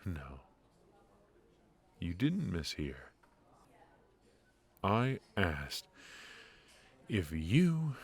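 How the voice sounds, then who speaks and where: A man playing a wry, tender mafia boss in an intimate ASMR roleplay, speaking in a halting, low-key way. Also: full character voice. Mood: earnest, coaxing. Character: brilliant ruthless male mafia boss, softened, wry and tender. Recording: music behind the voice.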